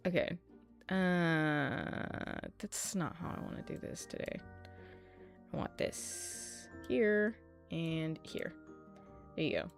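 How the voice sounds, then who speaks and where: A woman's voice: sits low, very animated, takes it slowly, creaky, long pauses. A woman livestreaming in a casual way, chatting with her viewers, swearing often and laughing a lot, with a sleepy voice. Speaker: streamer. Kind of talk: casual livestream chat while setting up a 3D model. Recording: faint music underneath.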